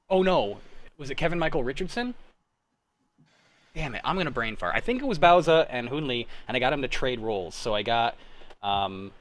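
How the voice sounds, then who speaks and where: A man's voice: medium pitch, a bit bright. A man in his thirties hosting a casual group chat, talking in an informal, rambling way and often interrupting himself. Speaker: host.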